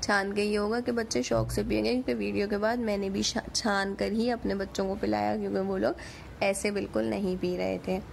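A woman's voice: medium pitch, flat delivery, a little fast, vocal fry, slight background noise. A woman with a Pakistani accent giving a chatty, informal recipe voice-over as a home cook.

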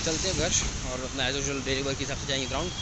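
A man's voice: mid-range voice, fairly even intonation, very fast, a loud crowd, low-quality recording. A young man chatting casually in a vlog, mixing in English words, filmed outdoors on a phone held at arm's length. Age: young adult. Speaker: vlogger. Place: outdoors on streets, bus stand and roadside. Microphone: phone camera held at arm's length. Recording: loud traffic and outdoor noise. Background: traffic, motorcycles and street noise.

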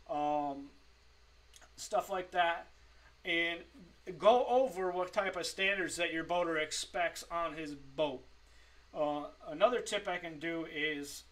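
A man in his forties giving informal tips to camera in a halting, improvised way from a home room. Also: sits high, fairly bright tone, far from the mic. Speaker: fishing tips presenter. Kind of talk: informal tutorial talk to camera. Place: small home streaming room, indoors.

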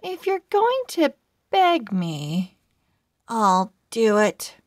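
A woman's voice: a little high, sing-song, very slow, no room sound, studio quality, heavily acted. A woman voicing a proud, sassy female cat from a children's storybook in a haughty, teasing way. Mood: showy, haughty.

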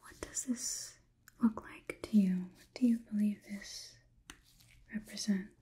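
A young woman whispering softly and deliberately in an ASMR roleplay, playing a curious, logical robot overlord who questions the listener clinically. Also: medium pitch, fairly even intonation. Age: young adult. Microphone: close ASMR microphone.

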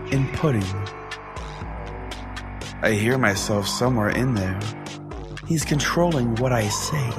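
A man with a lively, swooping delivery hosts a comedy podcast as a self-styled pastor. He rambles in mock seriousness at a middle pitch. Music plays clearly behind him. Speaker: host playing a pastor of a comedy call-in show. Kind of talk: comedy podcast monologue and banter.

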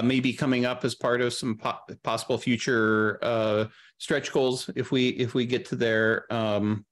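A middle-aged man talking casually as an interview guest over a video call. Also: mid-range voice. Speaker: guest, audio drama creator. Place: indoor room on a video call.

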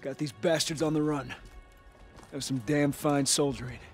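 An actor playing an earnest, encouraging American army officer in a war video game. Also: male voice, fairly high pitch, steady tone, moderate pace, close to an everyday voice. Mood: proud. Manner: earnest, supportive. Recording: music behind.

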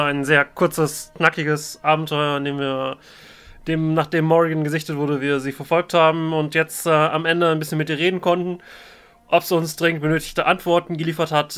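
A man's voice: fairly high pitch, fairly bright tone, clear, resonant tone. A man giving casual let's play commentary, hesitant and rambling as he thinks aloud. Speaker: let's player, role-play gaming channel host. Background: game music.

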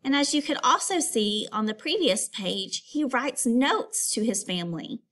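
Higher-pitched woman teacher reading to children. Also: a little slow. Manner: expressive storytelling, instructive, addresses pupils with questions. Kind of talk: read-aloud lesson for children.

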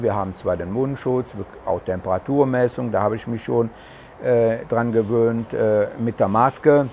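Middle-aged man, regional German dialect, chatty and halting, lapel mic on an outdoor terrace, faint ambience behind. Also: medium pitch, darkish tone, slight background noise. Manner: rambling, many hesitations, chatty. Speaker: vlogger. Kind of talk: casual talk to camera. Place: covered terrace outdoors in the tropics.

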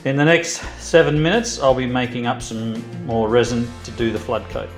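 A man's voice: mid-range voice, fairly even intonation, moderate pace, music in the background. An older Australian man giving a chatty, folksy vlog tour of his sailboat cabin, recorded on an action camera. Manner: chatty, folksy, thinking aloud. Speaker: sailing vlogger. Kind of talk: casual vlog narration.

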